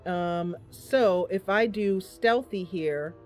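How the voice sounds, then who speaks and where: A woman's voice: lowish voice, slow pace, soft background music. A woman hosting a game stream, giving casual live commentary and thinking aloud through her choices as she chats to viewers. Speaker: game streamer, host of the channel.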